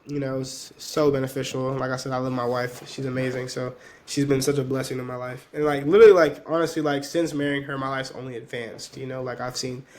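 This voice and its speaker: A twenty-year-old man whose first language was Spanish, talking casually as a podcast guest and leaning on fillers as his phrases trail off softly. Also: medium pitch, little pitch movement, brisk, few pauses. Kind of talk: casual conversation.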